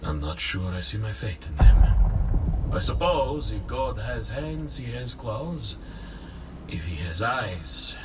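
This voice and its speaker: A man's voice: lowish voice, very animated, takes it slowly, over loud music. An actor playing a weary, disillusioned man of faith in a video game, brooding and dropping at times toward a whisper. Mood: bitter, brooding. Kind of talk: video game character acting. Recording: loud background ambience noise.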